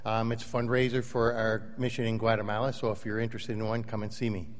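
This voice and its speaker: A man's voice: fairly low pitch, little pitch movement, quick pace. A man making a short, informal fundraiser announcement at a church service. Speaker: church mission group member. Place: church sanctuary. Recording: reverberant hall.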